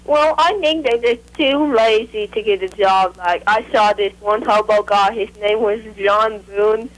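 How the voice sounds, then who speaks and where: A woman's voice: medium pitch, measured pace. A woman making a phone call, chatting casually with naive, childlike wording. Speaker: prank caller. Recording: telephone call.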